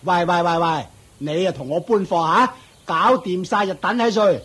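A man's voice: high-pitched, fairly bright tone, breathy edge. A man with a Hong Kong Cantonese accent playing a scornful, nagging uncle who runs a shop, mocking and belittling in a radio drama. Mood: irritated. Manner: mocking, belittling, drawn-out taunts.

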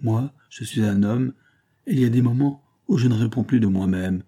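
A man's voice: mid-range voice, moderate pace, mellow, close to an everyday voice. A man reading an audiobook, voicing a drunken, bitter glassmaker husband who bullies and wheedles, emphatic and sardonic. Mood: wheedling.

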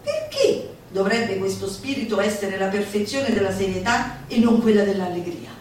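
Woman, stage recitation, declamatory, playful, dramatic pauses, distant and very roomy sound. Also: mid-range voice, sing-song. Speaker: solo stage performer. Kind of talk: theatrical recitation of a manifesto with audience asides. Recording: very echoey live hall, distant mic, music behind.

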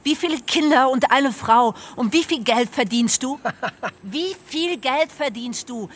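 A woman's voice: high-pitched, expressive. A woman playing a mocking young mother in a radio drama, confrontational, firing off questions. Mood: furious, mocking. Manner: confrontational, rapid repeated questions.

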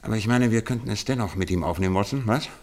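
A man's voice: on the low side, racing, lightly acted. An actor playing a shrewd, commanding male detective in a German radio drama, speaking with theatrical deliberation. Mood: grave, relishing. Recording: noisy radio play recording.

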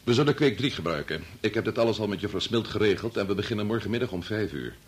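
An actor in a Dutch radio play, playing a grave, resolute older doctor who speaks in a measured, formal, authoritative way. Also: male voice, on the low side, expressive, slightly rough. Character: grave, resolute senior doctor and father leading an experiment.

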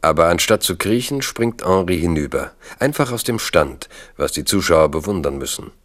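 A man's voice: deep voice, expressive, normal speed, fairly bright tone. A man narrating a radio play in a scripted, literary storytelling style.

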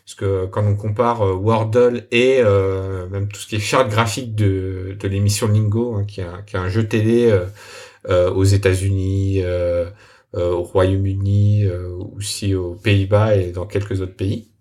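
Hesitant man with a fairly low voice. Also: steady tone, measured pace, darkish tone. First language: French. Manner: hesitant, many filler sounds and restarts. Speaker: software developer guest. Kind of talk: casual interview answers.

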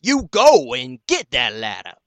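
A man's voice: medium pitch, wildly swooping pitch, a little slow, a bit bright, no room sound, exaggerated voice. A man voicing an angry, bossy drunk man who roars orders from down a well. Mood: roaring. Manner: mad and bossy, roaring.

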